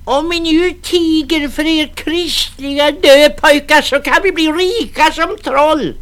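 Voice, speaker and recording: Shrill greedy old peasant crone, voiced by a male reader: screeching, scolding, very high and loud. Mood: scheming.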